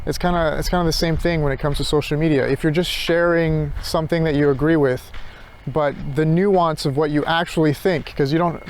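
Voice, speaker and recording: A man rambling casually and reflectively in a halting monologue as he walks outdoors, thinking aloud. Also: fairly high pitch, steady tone. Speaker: philosophy tutor vlogger. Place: outdoors, walking.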